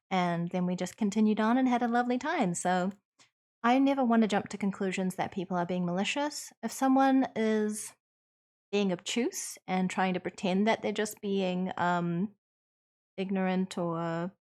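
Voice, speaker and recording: Earnest New Zealand woman streamer. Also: medium pitch. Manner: earnest, reassuring, thoughtful with frequent ums. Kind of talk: casual chat answering viewers.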